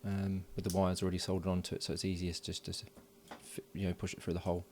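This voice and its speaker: A middle-aged man narrating his electronics build at a home workbench, relaxed and filling pauses with ums and uhs. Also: deep voice, a little hiss or hum. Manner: relaxed, thinking aloud with many fillers. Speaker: electronics hobbyist.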